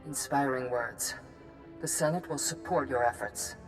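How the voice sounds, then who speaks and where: A woman's voice: rumbling low, moderate pace, over music. A woman playing a dignified political leader in a video game, speaking formally and with measure. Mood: solemn gratitude. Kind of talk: video game cutscene acting.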